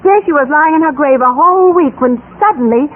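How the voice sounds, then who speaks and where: A woman's voice: high voice, brisk, natural voice. An actress playing a kindly, trusting, fussy housewife with exclamatory, motherly delivery in an old-time radio drama. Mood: shocked, gossipy. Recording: thin, muffled old radio recording.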